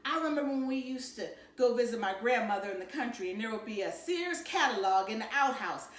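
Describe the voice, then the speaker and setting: A woman's voice: fairly high pitch, lively intonation, fairly quick, roomy sound, natural voice. A woman reading a storybook as a teasing, chatty father who likes to reminisce. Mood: fond reminiscing. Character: joking, chatty, nostalgic dad in a family story.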